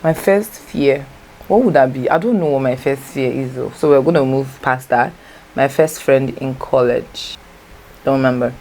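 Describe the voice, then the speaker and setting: Very deep-voiced Nigerian woman chatting. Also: moderate pace, warm tone, a little hiss or hum. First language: Igbo. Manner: chatty, rambling, self-correcting. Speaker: vlogger. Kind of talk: casual storytime chat. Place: home, natural light.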